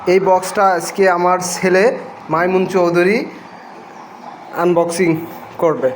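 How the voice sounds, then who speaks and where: A man with a Bangladeshi accent gives casual, unscripted vlog narration, pausing hesitantly between short phrases. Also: high voice, fairly even intonation. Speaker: vlogger unboxing a product. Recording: noisy home video audio.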